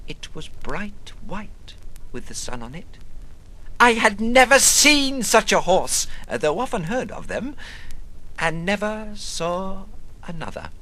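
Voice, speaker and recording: An actor reading a literary monologue as a rambling, brooding, eccentric man recalling his past, theatrical and halting, moving between murmur and outburst. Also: high-pitched, sing-song, sharp tone, heavily acted, poor audio. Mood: musing. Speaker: reader of a literary prose monologue.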